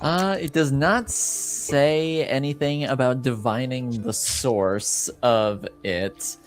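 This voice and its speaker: A man casually refereeing a tabletop game as game master, pausing to deliberate. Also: medium pitch, soft background music.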